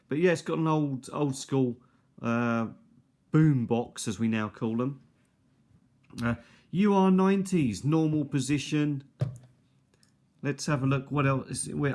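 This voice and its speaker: A middle-aged man giving an unscripted, casual show-and-tell in a hesitant, rambling, conversational way. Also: medium pitch. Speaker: video host. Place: indoors at a kitchen table. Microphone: handheld or nearby tablet's built-in mic.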